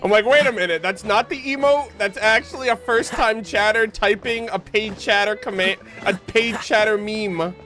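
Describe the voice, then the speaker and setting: A man's voice: high voice, moderate pace, hardly any pauses, bright, forceful tone, faint music behind. A man live streaming a game, chatting informally and jokingly with his viewers in slangy language. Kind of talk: casual game streaming chat.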